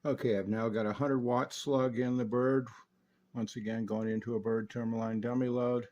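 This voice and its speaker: A man's voice: medium pitch, level tone, normal speed, a little mellow, highs cut off. A man giving an unscripted, hands-on technical demonstration of equipment, explaining each step methodically. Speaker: radio restorer demonstrating equipment.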